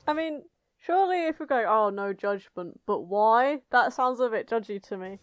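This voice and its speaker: A woman's voice: a little high, expressive, moderate pace. A British woman co-hosting a podcast, chatting casually with friends in a blunt, incredulous, sweary way. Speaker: podcast co-host. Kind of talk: casual banter among friends.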